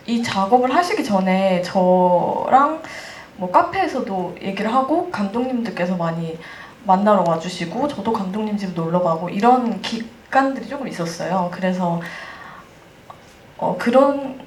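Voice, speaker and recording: A woman speaking as an actress on a post-screening panel, telling stories in a polite, chatty way. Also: medium pitch. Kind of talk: casual panel talk. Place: cinema hall. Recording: roomy, distant mic, faint noise.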